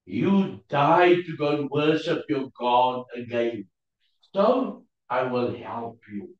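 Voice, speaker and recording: Afrikaans-accented male preacher, unhurried and hesitant, fishing for English words; distant mic, big echo. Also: medium pitch, expressive, a little mellow. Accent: South African Afrikaans. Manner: halting, searching for English words with many fillers. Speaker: preacher teaching a Bible class. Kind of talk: Bible class teaching. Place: church hall. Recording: distant, roomy, dull lo-fi sound.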